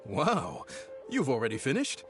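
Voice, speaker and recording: A man voicing a cheerful, hospitable restaurant chef in a video game, friendly and animated. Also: mid-range voice, unhurried, music in the background. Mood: cheerful, surprised.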